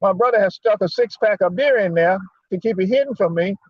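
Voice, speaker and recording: A man's voice: high-pitched, fairly quick, dry recording. A man gives an informal religious talk full of personal storytelling, speaking in a folksy, conversational way and repeating phrases for emphasis. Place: parked in a lot, talking remotely. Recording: dull lo-fi audio.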